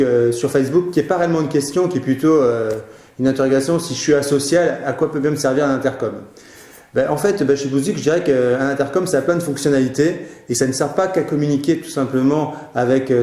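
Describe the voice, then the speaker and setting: A man with a dark, relaxed voice talks viewers through product advice. His delivery is casual and a little hesitant, and the sound is roomy with audible echo. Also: medium pitch, fast. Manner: hesitant, informal. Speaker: web show presenter answering viewers' questions.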